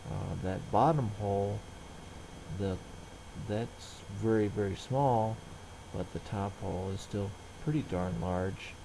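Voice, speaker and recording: A middle-aged man casually narrating everyday tasks in a halting, rambling, plain-spoken way. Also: lowish voice, gravelly, noisy background. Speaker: vlogger.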